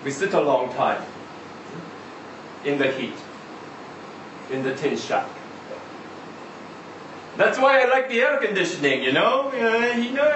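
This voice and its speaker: Man performing a tired Middle Eastern trucker, live story monologue, slow rambling delivery, resigned, recorded at a distance in an echoey room. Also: high voice, a bit bright, exaggerated voice. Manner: halting, rambling, foul-mouthed, repeatedly seeking agreement. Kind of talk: live dramatic monologue reading of a short story. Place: live literary event in an indoor venue. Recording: echoey room, distant mic, faint noise.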